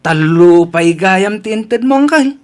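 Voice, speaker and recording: Man playing young nephew, fairly high voice, nosy, encouraging, comedy radio drama. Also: animated, measured pace, clear, resonant tone. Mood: curious, encouraging.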